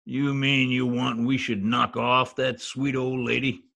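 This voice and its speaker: Man as a gravelly, blunt gangster boss. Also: medium pitch, little pitch movement, unhurried. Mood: indignant, tough. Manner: tough, slangy, forceful. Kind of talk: 1940s radio crime drama acting. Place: home, recorded over video call.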